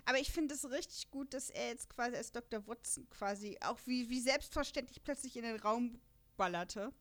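High-voiced, giggly woman chatting away. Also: normal speed. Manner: giggly, laughs often. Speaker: podcast co-host. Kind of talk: casual chat about an audio drama.

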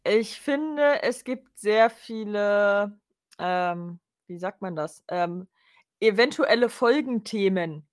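A woman with a mid-pitched voice, co-hosting a relaxed podcast. She searches for words with frequent fillers and breaks into giggles now and then. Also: slow pace. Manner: hesitant, many fillers, giggly. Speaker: podcast co-host. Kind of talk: casual chat.

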